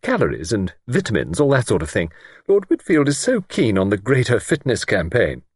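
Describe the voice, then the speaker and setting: A man reading an audiobook voices a fussy, anxious middle-aged spinster in a high, breathless manner. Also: wildly swooping pitch, normal speed, husky, dry recording, studio quality, heavily acted. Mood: chattering.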